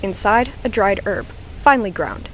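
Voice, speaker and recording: A woman with a medium-pitched voice reads a horror audio drama as its narrator. Her delivery is scripted, suspenseful and measured, pausing for drama. A clear bed of storm ambience sits behind her. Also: expressive, noisy background.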